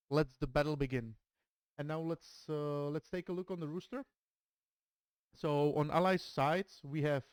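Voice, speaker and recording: Czech man calling a competitive match, deliberate non-native English, clear voice, dry close sound. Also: on the high side, darkish tone, no echo, low-quality recording. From Czech Republic. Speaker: esports match commentator. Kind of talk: streamed game commentary, reading a dramatic intro and team roster.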